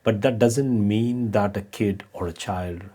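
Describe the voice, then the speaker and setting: A man's voice: on the low side, unhurried, mellow. A man hosting a podcast, probing his guest with questions and interjecting. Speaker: podcast host.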